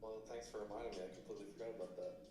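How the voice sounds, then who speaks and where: A man's voice: fairly low pitch, flat delivery, rapid-fire, warm tone, faint music behind. A man joining a voice-acting game stream, joking casually with the host. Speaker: co-player.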